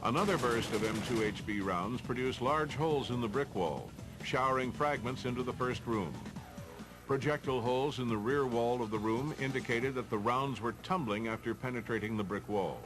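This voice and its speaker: A man's voice: mid-range voice, normal speed, over music, low-quality recording. A man with an American accent reads formal, matter-of-fact instructional narration for a training film.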